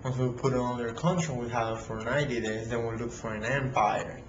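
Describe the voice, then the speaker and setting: A man with a Latin American Spanish accent, a native Spanish speaker, giving a casual how-to talk at his home computer, halting with many fillers and restarts. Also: mid-range voice, fairly even intonation, reverberant, lo-fi sound, noisy background. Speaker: real estate investor vlogger.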